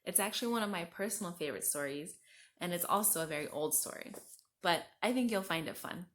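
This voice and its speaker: A woman's voice: fairly low pitch, animated, quick pace, a little hiss or hum. A woman storyteller reading a children's story aloud expressively, with friendly asides addressed directly to young listeners.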